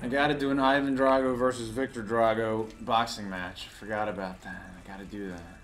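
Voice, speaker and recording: A man's voice: fairly low pitch, fairly even intonation, fairly quick, background noise. A man casually commentating his VR gameplay at home, muttering wry, self-deprecating remarks over the game's sounds. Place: home room with VR headset. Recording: game music loud behind voice.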